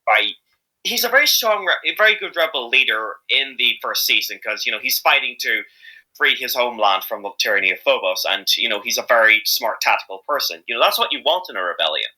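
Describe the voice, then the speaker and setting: A man speaking as an amateur voice actor being interviewed, chatting casually in a jokey, halting, rambling way. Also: medium pitch, big pitch swings, bright, forceful tone, low-quality recording.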